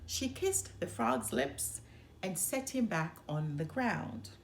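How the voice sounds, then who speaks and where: Slow, very expressive woman storyteller, slightly creaky. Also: fairly low pitch, slight background noise. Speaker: storytime host. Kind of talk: expressive children's storybook narration.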